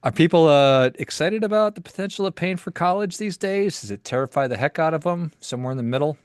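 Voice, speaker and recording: A man's voice: a little high, animated, fast, clean tone, no room sound, clean studio sound. A man hosting a podcast, chatting casually and asking playful, teasing questions. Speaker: podcast host. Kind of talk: casual interview chat.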